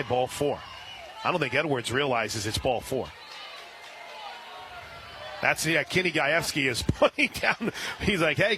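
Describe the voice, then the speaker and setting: A man doing live radio play-by-play of a softball game, excitable on big plays. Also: medium pitch, background noise.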